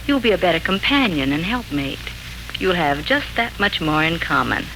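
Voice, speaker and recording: A middle-aged woman playing a practical, patient mother in a 1940s radio drama, gently firm and instructive. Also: on the low side, very animated, moderate pace. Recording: hissy 1940s radio recording.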